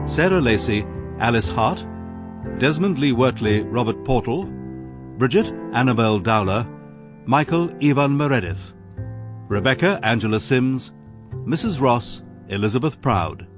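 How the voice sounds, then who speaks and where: A man's voice: mid-range voice, lively intonation, a little slow, long pauses. A man reading scripted credits as a radio announcer. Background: jazzy music.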